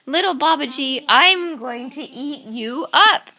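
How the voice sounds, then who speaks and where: A woman voicing a greedy, boastful storybook tiger, drawing her words out slowly and smugly. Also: high-pitched, expressive, bright, forceful tone, voice pushed up, full character voice. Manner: slow, drawn-out and smug. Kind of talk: storybook read-aloud.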